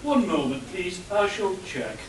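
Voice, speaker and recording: Unflappable, obliging British manservant acted by a man, high voice, comic radio play, picked up from a distance. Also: big pitch swings, a little slow. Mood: formal, obliging. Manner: formal, obliging. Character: deferential male butler, quietly smarter than employer, unflappable. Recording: roomy echo, distant mic, faint noise.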